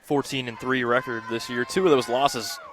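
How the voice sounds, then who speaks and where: A man giving conversational color commentary on a live softball broadcast from the field. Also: mid-range voice, steady tone, moderate pace, a crowd in the background. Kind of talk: conversational live sports analysis. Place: outdoor softball field, under a tent.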